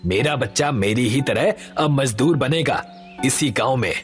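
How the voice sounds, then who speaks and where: A poor labouring father from a village, acted by a man. He sounds resigned and firm, in a mid-pitched voice. Faint music runs behind the animated-story performance. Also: a little slow, lightly acted. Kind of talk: Hindi animated story voice acting.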